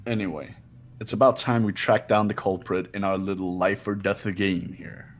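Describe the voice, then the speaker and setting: A man voicing an arrogant, coldly aloof young man in a fan game dub, dismissive and deliberate. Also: low voice, steady tone, close to an everyday voice. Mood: smug, bored. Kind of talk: fan-dubbed visual novel acting.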